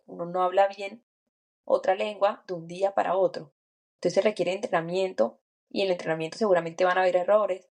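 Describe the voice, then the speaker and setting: A woman's voice: fairly low pitch, darkish tone. A woman co-hosting a podcast, speaking in an earnest, encouraging way and thinking aloud with small restarts. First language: Spanish. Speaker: podcast co-host. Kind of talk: reflective podcast chat. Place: lives and works in France.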